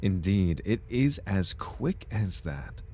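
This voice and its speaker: Guy doing a smooth talking corporate recruiter for asmr roleplay, formal and oily salesman, deepish voice, really lilts up and down. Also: very animated, slow, mellow, full character voice. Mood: welcoming, smooth. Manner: formal, unctuous, theatrical salesman's patter. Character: suave, over-polite male corporate recruiter, slyly upbeat salesman. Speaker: voice actor in an audio roleplay. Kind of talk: ASMR roleplay, scripted in-character monologue.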